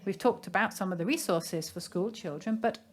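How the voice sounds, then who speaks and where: A woman giving a simultaneous interpretation of a seminar in a measured, formal way, pausing now and then to find her phrasing. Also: medium pitch. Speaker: conference interpreter.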